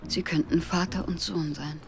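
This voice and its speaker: An actress playing a devoted wife, gentle and coaxing, protective of her family, in a radio drama. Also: low-pitched, fairly even intonation, normal speed, gravelly, slight background noise. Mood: coaxing, anxious.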